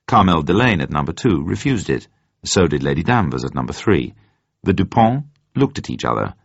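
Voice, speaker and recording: Man, dramatic novel narration, low pitch, deliberate storytelling, slightly dull recording. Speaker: audiobook narrator. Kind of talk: scripted dramatic novel reading. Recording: roomy, slightly dull sound.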